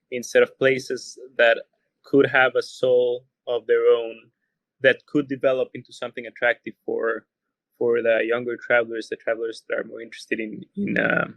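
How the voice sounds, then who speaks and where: Costa rican guy w spanish accent on a podcast, searches for words, mid pitch, really dry sound. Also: dry recording, rough recording. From Costa Rica. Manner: halting, repeats words as he searches for phrasing. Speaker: podcast guest, hotelier. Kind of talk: thoughtful conversational interview answers.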